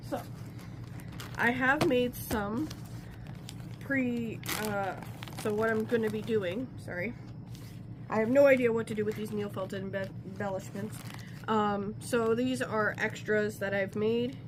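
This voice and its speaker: A woman with a blocked-up nose from a cold talks through her crafting in a loose, rambling way, often breaking off mid-thought. Her voice sits at an ordinary middle pitch. A clear hiss of noise runs under the home recording. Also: steady tone, a little slow. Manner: chatty, rambling, self-interrupting. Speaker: crafting vlogger. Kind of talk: casual talk while crafting.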